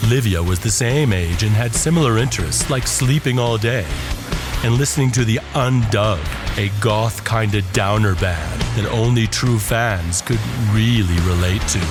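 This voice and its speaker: Casting: male horror-podcast narrator, low voice, scripted delivery with dramatic pauses, over clear background music. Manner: dramatic pauses and drawn-out phrases.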